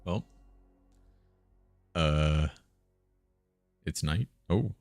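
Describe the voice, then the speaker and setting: A man's voice: extremely low pitch, very slow, creaky, a little mellow, no room sound. A man streaming a game, chatting casually and reading aloud, hesitant and muttering to himself. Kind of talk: casual live gameplay chat and read-aloud. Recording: guitar music behind the voice. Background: plucked guitar game music.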